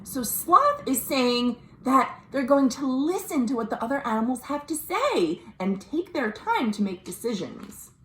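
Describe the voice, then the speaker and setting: Expressive, slow-paced woman reading storytime. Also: high-pitched, a little hiss or hum. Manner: expressive, addressing young listeners directly. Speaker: librarian storyteller for children. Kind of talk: animated storytime read-aloud with simple explanations. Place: indoors at home. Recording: roomy, echoey home recording.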